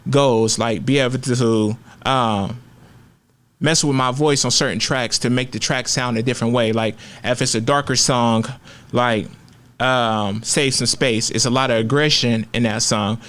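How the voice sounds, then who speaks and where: A man's voice: medium pitch, steady tone, measured pace, fairly bright tone. Candid young Bay man. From Oakland, California. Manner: candid, profane, slangy storytelling. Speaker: rapper guest. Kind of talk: casual interview chat.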